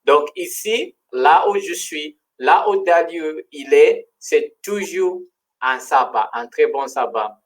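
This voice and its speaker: A man whose first language is not French hosts a live stream in a fairly high voice. He chats loosely, laughs a lot and stumbles over restarts as he goes. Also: animated. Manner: laughs often, halting restarts. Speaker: co-host of a livestream. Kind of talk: casual live-stream chat.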